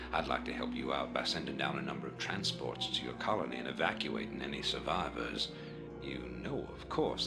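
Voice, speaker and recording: An actor playing a grave, persuasive rebel leader in a game briefing, measured and oratorical. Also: male voice, rumbling low. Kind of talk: video game briefing acting.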